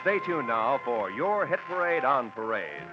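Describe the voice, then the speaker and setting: A man's voice: mid-range voice, very animated, a little slow, music in the background. An American man doing polished, emphatic scripted announcing in the style of 1940s network radio. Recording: muffled vintage radio recording.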